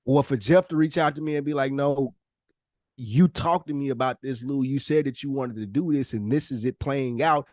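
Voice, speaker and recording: A man's voice: medium pitch, moderate pace, a little mellow, no room sound, clean studio sound. A man co-hosting a podcast in casual, candid conversation, speaking earnestly and emphatically with plenty of swearing. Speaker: podcast co-host.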